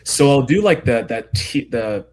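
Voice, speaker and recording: A young man from Kenya with an American accent, telling his story quickly and energetically as a podcast guest. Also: mid-range voice. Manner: fast, energetic storytelling with frequent fillers. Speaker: guest entrepreneur. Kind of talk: casual interview chat.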